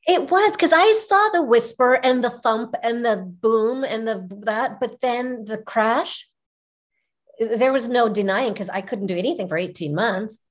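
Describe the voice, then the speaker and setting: A middle-aged woman whose first language is Arabic, telling personal stories as an interview guest in an expressive way, repeating phrases for emphasis. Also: fairly high pitch, measured pace. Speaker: podcast guest, image consultant. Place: small furnished room indoors, video call. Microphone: video call, seated at webcam distance.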